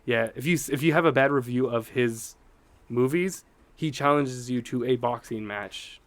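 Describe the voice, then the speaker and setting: A man's voice: mid-range voice, normal speed. A man co-hosting a podcast with casual, jokey banter about movies, laughing often as he talks. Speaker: podcast host.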